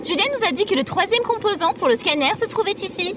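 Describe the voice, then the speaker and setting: A woman's voice: extremely high pitch, lively intonation, fast, music in the background. A woman playing a small, prim female robot companion in an audio drama, speaking formally and scolding with exasperation. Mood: indignant, explaining.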